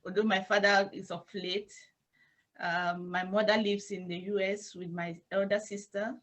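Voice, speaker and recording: A woman with a Cameroonian accent answering interview questions as a church intern, in formal, halting, measured phrases. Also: mid-range voice, little pitch movement.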